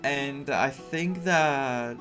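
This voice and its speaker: Slow, halting game streamer. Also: medium pitch, a bit bright. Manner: halting, reflective, candid. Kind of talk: casual, personal playthrough commentary. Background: faint game music.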